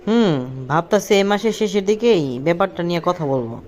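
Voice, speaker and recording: A man's voice: high-pitched, lively intonation, fast, darkish tone, slight background noise. A man playing an irritable, scornful two-timing husband and office boss in a cartoon drama, curt in manner. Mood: coaxing. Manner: scolding, curt.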